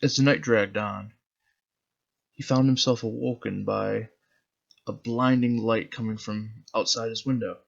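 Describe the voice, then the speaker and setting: A man's voice: lowish voice, fairly even intonation, measured pace, creaky, lo-fi sound. A man narrating his own short story in a dramatic storybook style. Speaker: author reading his own zine.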